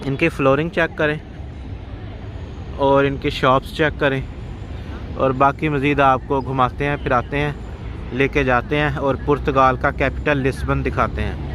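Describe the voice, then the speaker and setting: A man's voice: mid-range voice, level tone, a bit bright, a little hiss or hum. A man from Pakistan filming a travel vlog outdoors in a city square, pointing out the sights in a chatty way, holding a phone at arm's length. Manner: pointing things out, rapid and chatty. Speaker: vlogger. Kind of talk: casual travel vlog narration.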